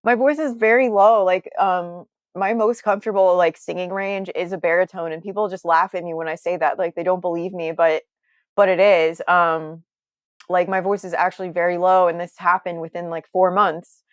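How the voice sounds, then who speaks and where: A young woman with a somewhat low speaking voice tells her story in a candid, earnest way. She wanders and circles back on herself mid-sentence. The sound is dry and close, with no room around it. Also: steady tone, normal speed, no room sound. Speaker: guest. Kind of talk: candid conversational interview.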